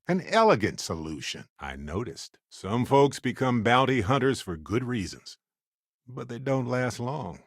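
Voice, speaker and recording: An actor playing a chatty, good-natured space explorer in a video game, speaking in a playful, conversational way with wry expressiveness. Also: male voice, on the low side, no room sound, studio quality. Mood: reflective, low-key. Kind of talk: video game companion voice lines.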